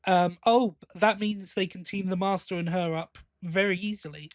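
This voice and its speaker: A man's voice: high voice, fairly even intonation, moderate pace, dry recording, clean studio sound. A man co-hosting a podcast, talking casually and enthusiastically as a fan. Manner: enthusiastic, appreciative. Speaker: podcast co-host.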